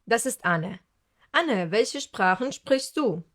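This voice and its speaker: A woman's voice: on the high side, animated, takes it slowly, fairly bright tone. A woman teaching a recorded language lesson, speaking carefully and repeating each word for learners.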